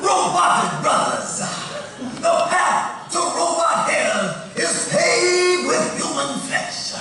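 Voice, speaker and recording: Loud, fervent robot preacher, echoey. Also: male voice, very high voice, very animated, exaggerated voice. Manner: drawn-out sermon delivery. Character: fervent robot preacher, male, thundering and theatrical. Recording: echoey hall from far off, music.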